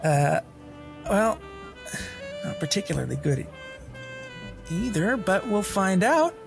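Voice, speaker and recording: A man with a fairly high voice plays in a tabletop game, narrating his character's actions casually and off the cuff. Music runs softly behind him. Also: very animated, takes it slowly, creaky. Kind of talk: casual improvised roleplay narration.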